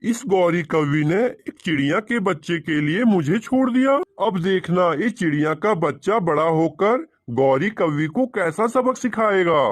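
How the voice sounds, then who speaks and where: A man's voice: high-pitched, lively intonation, measured pace, hardly any pauses. A man voicing a proud, resentful adult male crow in a cartoon, speaking with dramatic emphasis. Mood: bitter.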